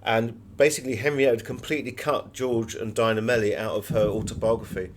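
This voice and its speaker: A man's voice: mid-range voice, fairly even intonation, fairly quick. A man giving conversational, anecdotal answers as an author being interviewed.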